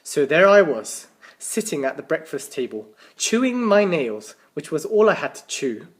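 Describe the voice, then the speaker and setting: A man reading a children's story aloud as a teacher, with animated, dramatic emphasis and the odd stumble over words. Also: fairly high pitch, normal speed. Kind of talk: expressive children's storybook narration.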